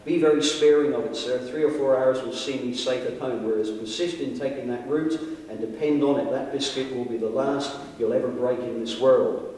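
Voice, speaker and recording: A man's voice: medium pitch, steady tone, moderate pace, a little mellow, natural voice. A man plays a plain-speaking bush guide of colonial days, loyal and cautious. He pleads respectfully and earnestly in an old-fashioned dramatic reading. The sound is distant and swims in hall echo. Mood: regretful, earnest. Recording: very echoey hall, far from mic.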